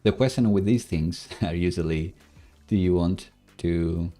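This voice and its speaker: A man giving casual live coding commentary, halting as he thinks aloud while he works. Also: sits low, dark tone, soft background music.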